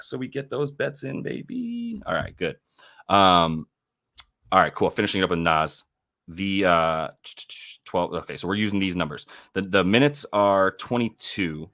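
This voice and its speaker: A man's voice: low voice, fairly bright tone. A young man hosting a casual sports betting livestream, thinking aloud and correcting himself as he works through numbers. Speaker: sports betting show host.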